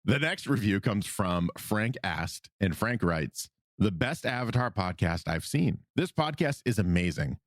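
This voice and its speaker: A man co-hosting a casual fan podcast, getting over a cold, with big pitch jumps and frequent laughs. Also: no echo, studio quality. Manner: animated, quick pitch jumps, laughs often. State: recovering from a cold. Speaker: podcast co-host.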